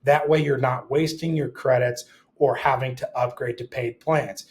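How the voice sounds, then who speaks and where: A man's voice: mid-range voice, steady tone, normal speed, a little mellow. Man presenting a casual tutorial. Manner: explanatory, with short rhetorical questions. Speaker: instructor.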